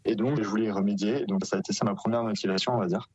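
Young French male interviewee, deep-ish husky voice, dull lo-fi sound. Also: steady tone, a little mellow, dry recording. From France. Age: young adult. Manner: measured, explanatory, with frequent fillers. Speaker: interviewee, editor of an expat news site. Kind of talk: radio interview answers.

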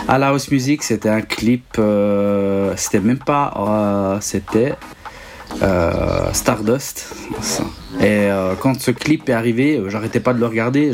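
A man's voice: medium pitch, a little mellow, a little hiss or hum. A man in his forties from Switzerland whose first language is Italian, a DJ and producer answering interview questions casually, hesitating often with ums and restarts. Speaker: DJ and producer guest.

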